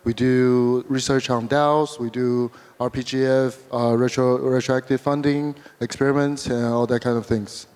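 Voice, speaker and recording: Male panelist from Taiwan, mid pitch, clean voice, halting, reverberant hall. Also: little pitch movement, darkish tone, smooth. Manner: hesitant, repeats words. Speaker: panelist, event organizer. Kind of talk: casual panel talk. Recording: long reverb of a large hall.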